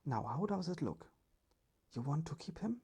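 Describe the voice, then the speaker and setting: A storyteller voicing a courteous, thoughtful older male professor in a breathy ASMR storybook whisper. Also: mid-range voice, sing-song, moderate pace, mellow, voice pushed up, heavily acted.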